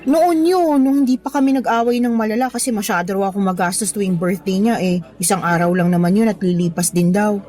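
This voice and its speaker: A woman's voice: mid-range voice, brisk, few pauses, darkish tone, soft background music, lightly acted. An actress playing a meek, devoted young Filipino girlfriend in a radio drama, speaking in a plaintive, hesitant way. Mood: sad, resigned.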